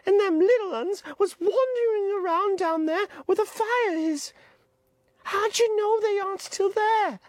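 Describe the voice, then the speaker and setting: A woman's voice: extremely high pitch, measured pace, dry recording, pitched above the performer's own voice. A woman voicing an anxious, indignant schoolboy with glasses, in a whiny, protesting tone.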